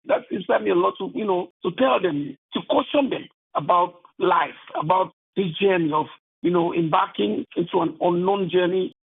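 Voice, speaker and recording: A man with a Cameroonian accent speaks in a high, gravelly voice over a thin phone-like line. He is an author answering interview questions earnestly, in halting phrases peppered with "you know".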